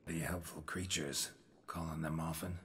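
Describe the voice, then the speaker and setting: An actor with an American accent playing a gruff, stoic middle-aged monster hunter in a game, gravelly and deadpan, speaking in clipped sentences. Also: male voice, extremely low pitch, moderate pace, slight background noise. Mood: dry, terse. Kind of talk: video game cutscene acting. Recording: loud music underneath.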